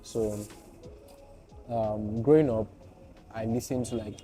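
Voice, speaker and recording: A man with a soft voice, chatting playfully as a guest about his work. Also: on the low side, steady tone, slow. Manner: playful, joking storyteller. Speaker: guest content creator. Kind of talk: casual interview chat. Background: background music.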